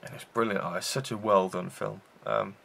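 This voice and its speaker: A man's voice: on the low side, steady tone, moderate pace, gravelly, a bit bright. A man hosting a casual film review show, rambling with enthusiasm and many fillers and restarts.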